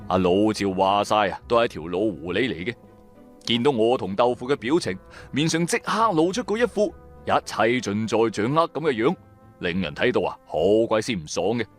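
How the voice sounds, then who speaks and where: Radio drama lead: male actor as wry, streetwise businessman narrator, Cantonese, colloquial and highly expressive over soft music. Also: normal speed, natural voice. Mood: amused, exasperated. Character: streetwise, wry businessman turned tomb raider. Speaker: narrator and main character of an audio drama.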